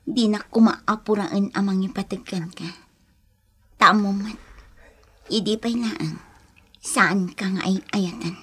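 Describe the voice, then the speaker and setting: A woman's voice: medium pitch, steady tone, slow, long pauses, fairly bright tone, breathy edge. An actress with an Ilocano accent playing a troubled, bitter young unwed mother in a melodramatic radio drama style. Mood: subdued, wary. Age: young adult. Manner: melodramatic, aggrieved.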